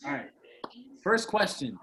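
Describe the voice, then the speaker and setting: A man in his twenties hosting a casual group chat with friends on a video call, animated and quick to laugh. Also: on the high side, a crowd in the background. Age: 20s. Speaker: host.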